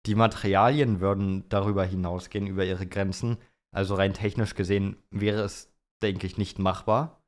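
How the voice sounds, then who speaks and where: Male podcast co-host with a fairly low voice, relaxed conversational phrasing that circles back on itself. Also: moderate pace. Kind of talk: casual chat about motorsport.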